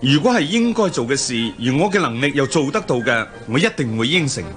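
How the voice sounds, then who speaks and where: Actor as earnest young prince, animated delivery. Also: male voice, mid-range voice, brisk, faint music behind, lightly acted. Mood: firm, earnest. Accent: Hong Kong Cantonese. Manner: earnest, formal, declaiming. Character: noble, upright young prince and hero. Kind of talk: Cantonese radio drama acting.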